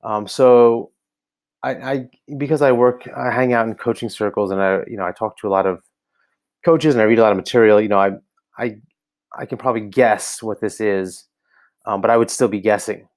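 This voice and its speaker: Low-voiced American man thinking aloud. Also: fairly even intonation, moderate pace, a little mellow. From United States. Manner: hesitant, many ums and restarts, thinking aloud. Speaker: website reviewer for coaches. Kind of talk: casual spoken feedback to camera. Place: indoor room, seen on camera.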